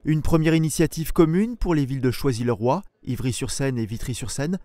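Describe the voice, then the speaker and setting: A man's voice: medium pitch, fairly quick, warm tone, no room sound. A man reading scripted narration as the voice-over of a local news report.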